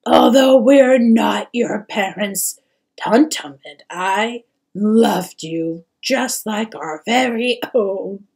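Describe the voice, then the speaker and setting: A woman's voice: mid-range voice, sing-song, takes it slowly, creaky, breathy edge, full character voice. A woman voicing an anxious, loving wood troll mother in a storybook, tearful and emphatic. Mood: grief-stricken.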